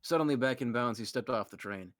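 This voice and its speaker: A man hosting a comedic fan fiction reading, animated and often laughing. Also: medium pitch, brisk, no echo, clean studio sound. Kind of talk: comedic reading with group commentary.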